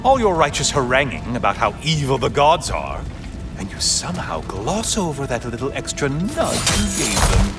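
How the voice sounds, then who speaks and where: Mocking bitter villain type, pitch all over the place, sarcastic, game music behind. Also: male voice, sing-song. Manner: sarcastic, taunting, drawn-out emphasis. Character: mocking, theatrical, bitter male accuser. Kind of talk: dramatic video game cutscene acting.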